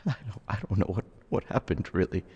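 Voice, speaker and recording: A man playing a menacing, traumatized war veteran turned killer suspect in an audio drama, with a gravelly, raspy voice and a halting, brooding delivery. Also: deep voice, warm tone, lo-fi sound. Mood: shaken. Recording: long cavernous reverb.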